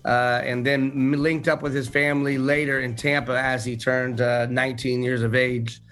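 Earnest man from Florida, halting speech. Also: mid-range voice, soft background music. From Central Florida. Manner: relaxed, earnest, halting with fillers. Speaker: car dealership owner, guest. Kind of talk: casual interview answers. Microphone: remote video call.

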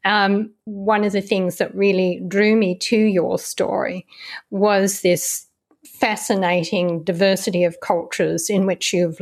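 A woman interviewer with a mid-range voice sets up careful, measured questions. She sounds encouraging toward her guest and paces her thoughts slowly. Her questions run long and invite a personal story. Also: mid-range voice.

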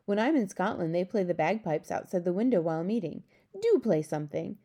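A woman's voice: lowish voice, lively intonation, fairly quick, mellow. Woman playing a composed, commanding queen. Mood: gracious.

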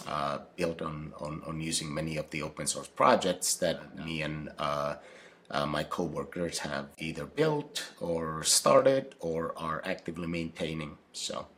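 A man speaks in a very low voice. He answers interview questions haltingly and fills his pauses with many fillers and restarts. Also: fairly bright tone. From Finland. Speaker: podcast guest, company founder.

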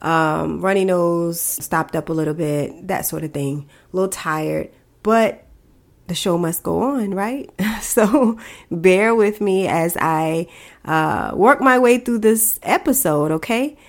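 A woman with a fairly low voice hosts her own podcast while fighting a head cold, so she sounds stuffy and congested. She talks frankly and chattily, giving her opinions openly. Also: slow pace, vocal fry. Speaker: podcast host. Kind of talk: casual solo podcast commentary.

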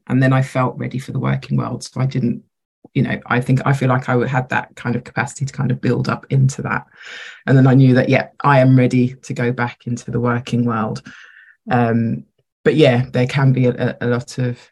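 A woman co-hosting a casual podcast chat, her voice croaky today as she thinks aloud in a reflective way. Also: extremely low pitch, a little mellow. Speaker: podcast co-host.

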